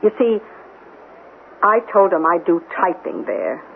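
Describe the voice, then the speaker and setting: An actress playing a feisty, defensive middle-aged mother with a sharp-tongued, suspicious manner in a 1970s radio drama. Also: lowish voice, very animated, a little slow, vocal fry, exaggerated voice. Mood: defensive, uneasy. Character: feisty, defensive mother who cleans offices at night. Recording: tinny, noisy old radio broadcast.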